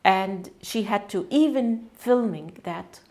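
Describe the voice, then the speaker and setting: A woman whose first language is Arabic, speaking English as an interview guest in an earnest, halting way with frequent restarts. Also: mid-range voice, a little mellow. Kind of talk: conversational interview.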